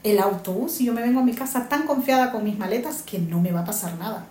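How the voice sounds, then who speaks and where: A woman talks earnestly and circles back to repeat her points. Her voice is mellow and dark. She sits in a roomy, echoey space with faint ambient noise behind her. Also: mid-range voice, fast. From Honduras. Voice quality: earnest, repeats herself for emphasis. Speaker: interviewee, migrant mother. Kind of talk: earnest personal testimony.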